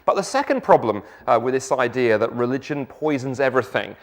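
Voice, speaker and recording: A middle-aged man with a South London accent gives an evening church talk, lively, with joking asides and rhetorical questions. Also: medium pitch, fairly quick. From England. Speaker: guest speaker.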